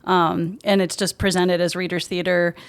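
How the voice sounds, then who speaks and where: A woman's voice: lowish voice, level tone, moderate pace, vocal fry, clear, resonant tone. Upbeat, informal female podcast guest. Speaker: podcast guest, high school theatre director. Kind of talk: casual interview chat.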